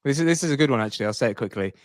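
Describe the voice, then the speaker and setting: A man with a mid-pitched voice talks casually as a podcast co-host. He rambles in a playful, jokey manner, and the sound is very dry. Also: lively intonation, racing, dry recording.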